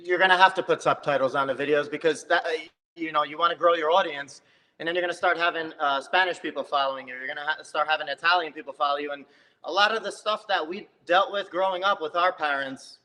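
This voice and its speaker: A man from New Jersey, a comedic video creator, chatting lively in an interview and quick to tell stories. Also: fairly high pitch, in a large hall, poor audio. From New Jersey, USA. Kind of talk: casual interview chat.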